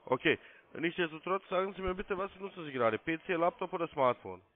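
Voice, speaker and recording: A man's voice: a little high, lively intonation, fast. A man in his thirties working as a call-centre agent, politely and formally giving step-by-step instructions. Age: 30s. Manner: formal, polite, spells things out. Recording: narrow phone-line sound.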